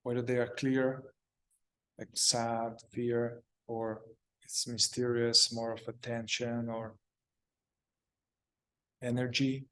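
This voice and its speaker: A man leading a guided meditation, speaking calmly in short, halting phrases with long pauses. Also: medium pitch, rough recording.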